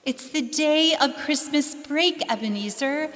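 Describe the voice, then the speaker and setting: Motherly Christmas ghost, played by a woman: drenched in reverb, high, stately, gentle yet firm. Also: measured pace. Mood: calm. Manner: stately, maternal. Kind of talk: stage radio play acting. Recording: long echoing reverb on voice.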